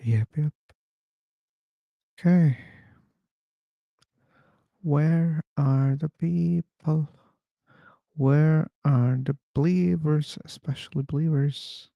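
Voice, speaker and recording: A man's voice: a little high, extremely slow, vocal fry, pauses often, mellow, no echo, highs cut off. A man hosting a casual live-stream discussion on a video call, chatting idly and repeating words while he waits. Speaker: host. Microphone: computer mic on a video call.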